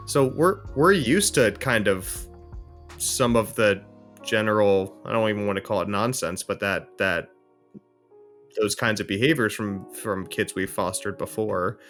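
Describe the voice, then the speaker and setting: A man's voice: on the low side, measured pace, fairly bright tone, soft background music. A man playing a reasonable, hesitant foster father, explaining things tentatively in an improvised role-play.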